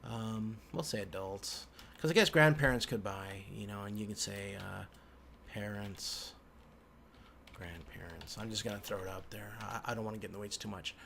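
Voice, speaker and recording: A man giving an informal tutorial as an instructor, thinking aloud in halting phrases with frequent restarts. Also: lowish voice, fairly bright tone. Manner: halting, hesitant phrasing with repeated word restarts. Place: indoors at a computer. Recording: clear background noise, keyboard typing.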